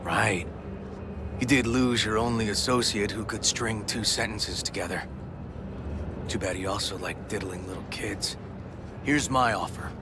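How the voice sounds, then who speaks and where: A low-voiced, cynical police detective. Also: male voice, unhurried, long pauses. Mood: threatening. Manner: terse, dry, streetwise. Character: cynical, hard-nosed male police detective, wry and tough. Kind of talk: video game voice acting. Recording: clear noise behind the voice.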